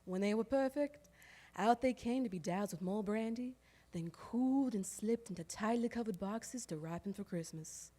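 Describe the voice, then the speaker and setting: A woman's voice: mid-range voice, normal speed, dark tone, lightly acted. An actress performs a harried old-time Southern household woman in a live stage monologue. She is wry and proud, with a mid-range voice, and dwells emphatically on each detail as she reminisces. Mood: nostalgic. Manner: wry, emphatic, lingering over lists. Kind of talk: theatrical storytelling monologue on stage.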